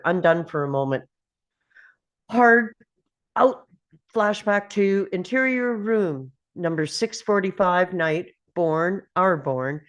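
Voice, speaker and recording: A woman reading screenplay action aloud as dramatic narration at a table read. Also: lowish voice.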